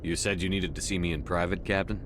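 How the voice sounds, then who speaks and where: An actor playing a stoic, dutiful space marine commander in a video game, with terse, controlled speech. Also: male voice, deep voice, fairly even intonation, quick pace, background noise, close to an everyday voice. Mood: stoic, firm. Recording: clear music behind.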